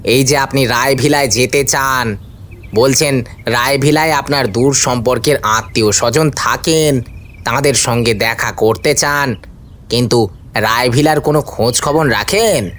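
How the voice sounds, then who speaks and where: A man's voice: mid-range voice, measured pace, fairly bright tone, lightly acted. An actor playing an inquisitive male village grocer with a probing manner in an audio story. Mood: surprised, probing. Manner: questioning, probing.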